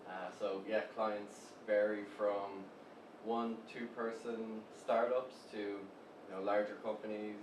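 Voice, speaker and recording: A man's voice: fairly low pitch, flat delivery, slow pace. Easygoing irish man, roomy and distant. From Ireland. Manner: easygoing, hesitant with many fillers and repeats. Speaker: guest, web agency co-founder. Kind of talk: casual interview talk. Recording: roomy, distant mic with background noise.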